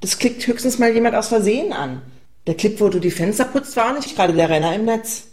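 A woman's voice: on the high side, fairly quick, natural voice. An actress playing a blogger's weary girlfriend, dry and patronising, in a satirical radio play. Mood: weary, reproachful. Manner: deadpan, patronising.

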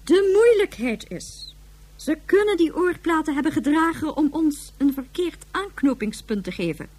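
A high-ranking woman of authority in a radio drama. Her voice is high and swings widely in pitch. She is brisk and formal, with a dry, sardonic edge. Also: lively intonation, a little mellow. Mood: curt, impatient. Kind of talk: Dutch radio drama acting.

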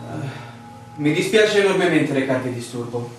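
Echoey, animated stranded traveler played by a man. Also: fairly high pitch, normal speed, faint music behind, lightly acted. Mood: weary, apologetic. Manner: formal, theatrical, protesting. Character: polite but indignant stranded male traveler seeking shelter. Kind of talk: theatrical stage drama acting. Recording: distant, very echoey room, faint noise.

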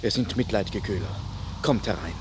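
An actor playing a gentle, devoted, protective country companion, speaking earnestly in a classical verse radio drama. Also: male voice, lowish voice, a little fast, lightly acted. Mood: caring. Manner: earnest, pleading. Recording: noisy recording with ambience.

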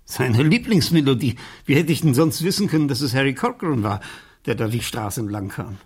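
A man playing an evasive, irritable uncle in a German radio crime drama, halting and easily indignant. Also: mid-range voice, lively intonation, darkish tone, slightly rough. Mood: reluctant, halting. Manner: hesitant, halting, turning indignant when pressed. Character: evasive, irritable uncle questioned by his teenage niece.

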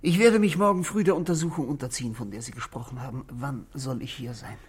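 Embittered husband, husky mid-pitched voice, formal, deliberate, self-controlled, old radio play. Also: male voice. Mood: formal, restrained. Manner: formal, deliberate, precise phrasing. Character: controlled, bitter married man confessing to police. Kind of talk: 1960s German radio drama acting.

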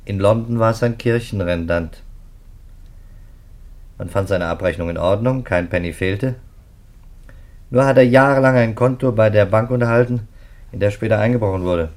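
An actor playing a sceptical, methodical police detective in a 1950s radio play, speaking in a clipped, businesslike manner. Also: male voice, on the low side, moderate pace, pauses often, a little mellow, close to an everyday voice. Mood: matter-of-fact. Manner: businesslike, clipped, rattles off facts.

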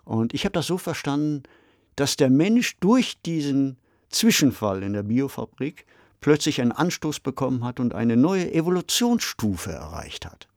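A man's voice: medium pitch, big pitch swings, normal speed, a little mellow, no echo, studio quality. A man, co-hosting a podcast in a reflective, articulate conversational style. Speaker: podcast co-host. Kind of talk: conversational discussion of radio drama.

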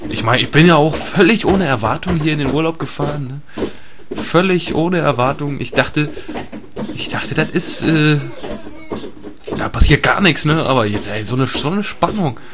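A man's voice: a little high, expressive, normal speed, noisy background, close to an everyday voice. A man playing a naive, chatty tourist in an improvised absurd audio comedy, hesitant and repetitive, often tacking on tag questions. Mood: placid, chatty. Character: chatty, naive male tourist, placidly captured.

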